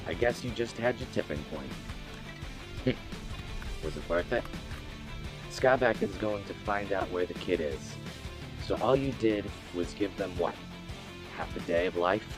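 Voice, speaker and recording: A man's voice: low-pitched, a little slow, long pauses, over music. An actor playing a smug, cruel gang boss in an audio drama, mocking his target with taunting questions. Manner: mocking, drawling rhetorical questions.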